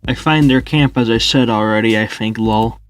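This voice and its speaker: A man's voice: fairly low pitch, moderate pace, faint music behind, close to an everyday voice. A man voicing a goofy, boastful stoner echidna in an amateur comic dub, rambling in slang. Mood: rambling narration. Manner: rambling, slangy, says lol aloud.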